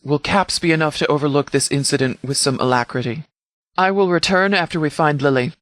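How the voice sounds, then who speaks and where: An actor playing a commanding post-apocalyptic sheriff in a video game, speaking in a clipped, formal, authoritative way. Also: male voice, a little high, a little fast, a bit bright, no room sound, close to an everyday voice. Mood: urgent, firm.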